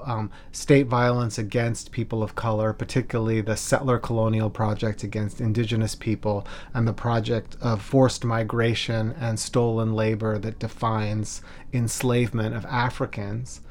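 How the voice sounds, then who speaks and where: A man's voice: fairly low pitch, flat delivery, normal speed, a little mellow, slight background noise. Man giving thoughtful, emphatic historical commentary. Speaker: scholar, expert commentator.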